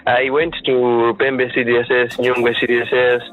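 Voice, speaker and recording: A man's voice: mid-range voice, little pitch movement, normal speed. A young man in his twenties with a Malawian accent, answering interview questions as a student leader, often stalling and repeating words as he restarts his sentences. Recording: tinny phone-like line, faint music.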